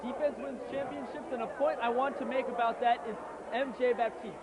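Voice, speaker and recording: A man offering steady, agreeable color commentary after an amateur basketball championship. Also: extremely high pitch, brisk, over a noisy crowd. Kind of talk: amateur league courtside commentary. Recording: noisy outdoor recording.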